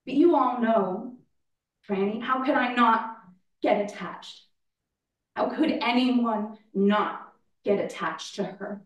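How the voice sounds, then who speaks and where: An actress plays a bereaved mother, a woman speaking in a reflective, halting way, as in a eulogy. She takes her time and pauses often. The sound is a stage reading picked up from a distance in an echoey room. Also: on the high side. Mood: tender, reflective. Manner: slow, deliberate, with long pauses. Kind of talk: staged play reading, dramatic monologue. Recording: roomy, distant mic in echoey space.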